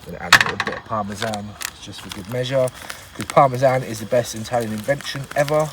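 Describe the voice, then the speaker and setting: A man's voice: mid-range voice, fast talker, heavy background noise. A man hosting a casual outdoor cooking show in the woods, talking through each step with plenty of jokes and laughter. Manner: chatty, jokey, laughs often.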